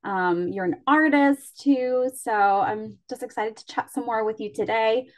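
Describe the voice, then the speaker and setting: A woman's voice: on the high side, a little slow. A woman hosting a podcast interview, talking casually with lots of fillers and joking about herself. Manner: chatty, self-deprecating, many fillers. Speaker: podcast host.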